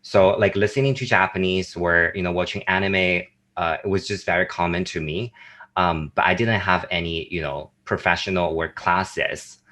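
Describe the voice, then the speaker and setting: A young man from China with a Chinese accent, chatting as a guest on a video call, lively and full of fillers and restarts. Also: low-pitched, normal speed. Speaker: guest, YouTuber. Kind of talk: casual chat on a video call.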